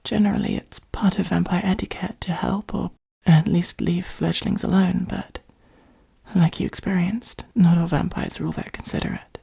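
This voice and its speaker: A gentle, protective vampire played by a woman speaks in a soft, husky whisper, thick with vocal fry. The tone is mellow and patient, soothing and reassuring in the manner of a comforting ASMR roleplay. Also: fairly low pitch, steady tone, normal speed, heavily acted. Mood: soothing, concerned. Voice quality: breathy, creaky. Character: gentle, protective adult vampire caring for a fledgling.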